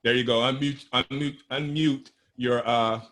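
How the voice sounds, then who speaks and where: A man's voice: medium pitch, steady tone, a little slow, crowd noise. A man moderating an online panel, politely welcoming and introducing each speaker.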